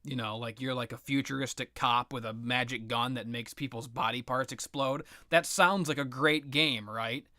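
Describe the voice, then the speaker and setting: Man, forceful bright voice, dry close sound, rambling game commentary, sarcastic, profane, repetitive. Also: mid-range voice, steady tone, moderate pace, few pauses, dry recording. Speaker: video game reviewer and host.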